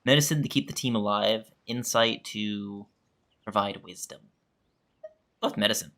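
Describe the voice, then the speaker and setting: A woman's voice: rumbling low, measured pace, a bit bright. A woman doing casual playthrough commentary, reading out choices in a video game. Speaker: gamer, playing and reading dialogue choices.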